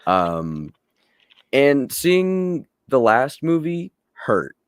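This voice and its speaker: A man's voice: medium pitch, big pitch swings, very slow, clear, resonant tone, no room sound, studio quality. A man co-hosting a casual live movie podcast, talking like a nerdy fan who swears freely.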